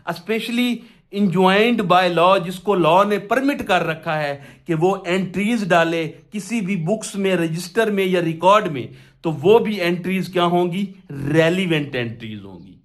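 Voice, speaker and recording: A man with a Pakistani accent giving an explanatory law lecture in a didactic way, posing questions and answering them himself. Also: high-pitched. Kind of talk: explanatory exam lecture, mixing Urdu with English legal text.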